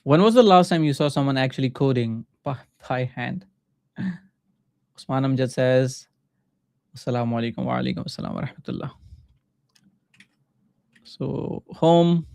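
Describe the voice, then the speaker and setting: Male coding streamer, Pakistani accent, Urdu native. Clean, resonant mid-range voice, dry sound, informal halting think-aloud delivery. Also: medium pitch, gravelly, a little mellow, no room sound. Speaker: livestreaming coder and mentor. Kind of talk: casual live coding commentary.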